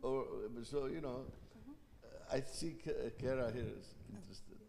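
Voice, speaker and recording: A man with an Egyptian accent leads a panel, pausing and restarting as he thinks aloud. His voice sits at a middle pitch and carries a long hall reverb. A faint hiss of background noise runs under it. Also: vocal fry, a little mellow. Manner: thoughtful, halting, hesitant restarts. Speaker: panel moderator. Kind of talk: panel discussion. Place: university event hall.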